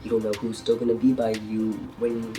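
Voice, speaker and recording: A young man speaks low and earnestly in his twenties, his voice thick and congested from a cold. He sits a little back from the mic, and soft music plays under him. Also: monotone, a little fast, distant mic. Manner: earnest, heartfelt, reflective. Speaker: vlogger. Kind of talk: personal vlog talk to camera.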